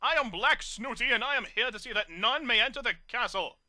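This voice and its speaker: A man's voice: extremely high pitch, animated, pushed and bright, no echo, voice pushed up, heavily acted. A man doing a snooty monocled pegasus. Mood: haughty. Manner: snooty, haughty, drawn-out.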